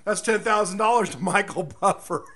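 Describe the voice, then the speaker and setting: A middle-aged American man hosting a casual solo radio show, rambling and folksy, with a slightly hoarse voice. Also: sits high, brisk, fairly bright tone. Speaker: radio and podcast host.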